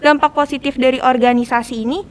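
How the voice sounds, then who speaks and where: A woman's voice: high voice, fairly even intonation, quick pace, over a noisy crowd. A teenage young woman with a Jakarta Indonesian accent, explaining things in a casual conversational style as a podcast guest. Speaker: podcast guest, student organization leader.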